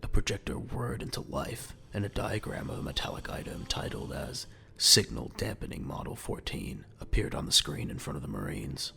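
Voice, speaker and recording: A man's voice: lowish voice, sing-song, normal speed, creaky, bright, forceful tone. A man narrating an audio drama from a script in a dramatic storytelling style.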